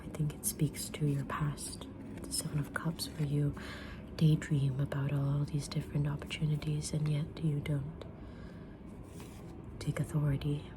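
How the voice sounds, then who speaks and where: A woman whispering softly in an ASMR roleplay as a kind, mysterious witch reading tarot, with a gentle, soothing delivery. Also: low-pitched, monotone, background noise. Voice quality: breathy unvoiced whisper. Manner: gentle, soothing, unhurried fortune-teller delivery. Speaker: ASMR creator playing a tarot reader.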